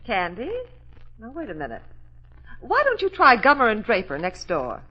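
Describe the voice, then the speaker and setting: A woman playing a brisk, matter-of-fact office receptionist in an old radio comedy drama. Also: fairly high pitch, wildly swooping pitch, sharp tone, heavily acted. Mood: brisk, helpful. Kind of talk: old-time American radio acting. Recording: dull old radio recording.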